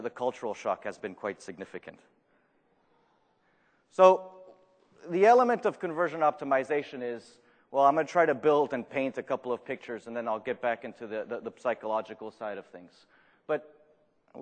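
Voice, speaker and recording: A man with a French accent and Spanish as his first language gives a live conference talk, joking and putting questions to the audience. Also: lowish voice. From Argentina. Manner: self-deprecating, jokey, asks the audience questions. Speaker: conference speaker. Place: large conference room. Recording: reverberant hall.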